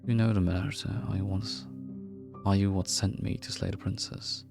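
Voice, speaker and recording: A man's voice: low voice, little pitch movement, moderate pace, gravelly, over music. A man who is a native German speaker, reading game text and choices aloud on a livestream. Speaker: game streamer.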